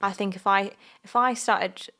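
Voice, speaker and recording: A woman's voice: mid-range voice, steady tone, a little slow, bright, forceful tone. A young woman with a southern English accent, co-hosting a casual sports podcast in a playful, joking way. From South of England. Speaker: podcast co-host.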